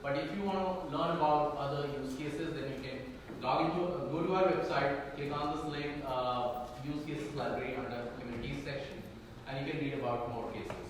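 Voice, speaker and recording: A man's voice: mid-range voice, normal speed, noisy background. A man presenting at a conference in a hotel ballroom, with many fillers and restarts as he explains a case. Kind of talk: conference talk with Q&A. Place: hotel conference room on stage. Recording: echoey hall, far from the mic.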